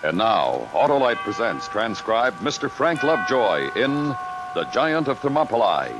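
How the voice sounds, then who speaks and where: A male announcer in classic 1950s radio style, dramatic and measured, at a medium pitch. Loud music plays behind him on the old recording. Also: very animated. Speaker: program announcer.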